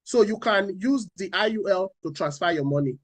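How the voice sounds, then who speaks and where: A man's voice: sits high, lively intonation, moderate pace, no echo. A man from Nigeria, speaking as a finance panelist on a talk show, repeating key phrases and asking rhetorical questions.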